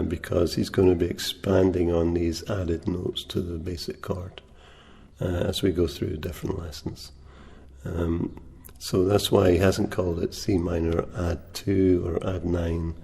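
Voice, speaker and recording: A soft-spoken man teaching a guitar lesson, unhurried, pausing often with ums and uhs. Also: low-pitched, gravelly, breathy edge. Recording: reverberant room with guitar playing.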